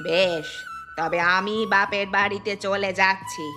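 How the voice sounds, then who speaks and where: A woman's voice: medium pitch, steady tone, measured pace, close to an everyday voice. A woman playing a harsh, scolding stepmother wife in a cartoon folk tale. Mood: angry, scornful. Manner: sharp, scolding, bossy. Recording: music behind the voice.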